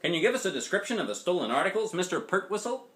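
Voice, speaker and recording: A man's voice: sits high, big pitch swings, rapid-fire, fairly bright tone, voice pushed up. A man voicing a grave, earnest storybook police officer with a formal, official manner. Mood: businesslike.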